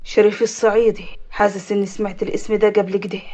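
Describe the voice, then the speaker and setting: A woman voicing a resolute, protective young man with an Upper Egyptian accent, speaking firmly and deliberately in an audio story. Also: mid-range voice, flat delivery, warm tone, voice pushed down, natural voice. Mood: grave, determined.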